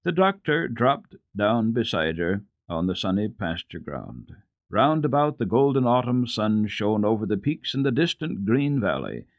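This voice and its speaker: Deep-voiced, expressive male storyteller. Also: no room sound, lo-fi sound. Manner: expressive, measured storytelling delivery. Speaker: storyteller reading children's classics on radio. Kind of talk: dramatic scripted narration of a children's book.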